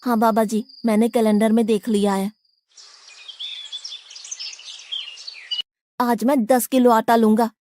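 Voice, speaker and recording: A woman voicing a blunt, complaining, hot-headed young boy devotee in an animated moral story. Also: on the high side, fairly quick, a little hiss or hum, natural voice. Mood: stubborn.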